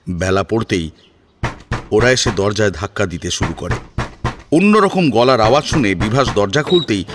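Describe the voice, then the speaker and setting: A man narrating a scripted story in a dramatic, expressive storytelling manner. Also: medium pitch.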